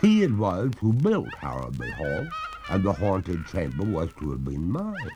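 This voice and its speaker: A man voicing a proud female water ghost from the old days, with a gurgling voice and a slow, prim, indignant manner. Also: deep voice, huge pitch swings, noisy background, pitched above the performer's own voice, exaggerated voice. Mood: aggrieved.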